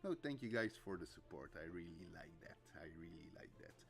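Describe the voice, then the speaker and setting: A man's voice: sits low, fairly quick, gravelly. A man with a Dutch accent, a native Dutch speaker recovering from a flu, chatting casually and chuckling while he streams his mask making. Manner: relaxed, chuckles often, reads chat aloud. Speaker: cosplay mask maker streaming. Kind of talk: casual livestream chat while crafting. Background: faint music.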